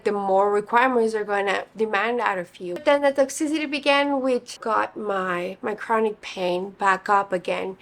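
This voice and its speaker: A young woman in her twenties with a slightly raspy, hoarse voice, chatting casually while getting ready, full of fillers. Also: fairly high pitch. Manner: chatty, many fillers like "like" and "you know". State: hoarse from singing. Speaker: beauty vlogger. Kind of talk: casual get-ready-with-me chat.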